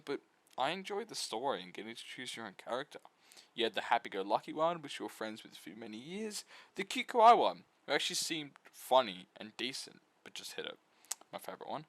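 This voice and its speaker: A man's voice: mid-range voice, fairly bright tone, no room sound. A man giving a casual video essay review of visual novels, full of flippant, irreverent asides.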